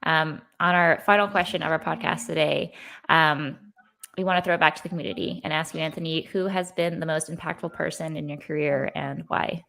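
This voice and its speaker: Female co-host, low pitch, creaky fry, encouraging interviewer. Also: fairly even intonation, fairly quick. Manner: warm, encouraging, many ums. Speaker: podcast co-host. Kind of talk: interview hosting.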